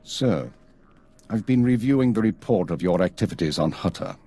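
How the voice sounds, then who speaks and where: An actor playing a stern, weary intelligence chief in a video game, formal, clipped and measured. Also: male voice, lowish voice, lively intonation, warm tone. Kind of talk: video game cutscene acting.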